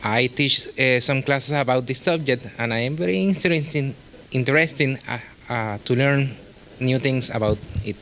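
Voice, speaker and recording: A man from Puerto Rico, a professor, introducing himself in halting, careful English. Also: medium pitch, a bit bright, a little hiss or hum.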